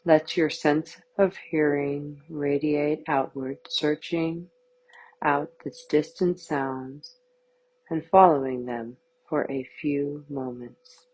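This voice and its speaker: Very slow-paced woman guiding meditation. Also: sits low, rough recording. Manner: calm, soothing, measured instruction. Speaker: yoga nidra guide. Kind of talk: guided meditation, scripted.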